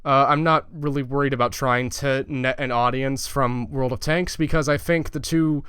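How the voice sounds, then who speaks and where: A man's voice: medium pitch, fairly even intonation, moderate pace, a bit bright, smooth, no room sound, clean studio sound. A young man giving a casual, profane channel update as a gaming YouTuber, loose and chatty with lots of fillers.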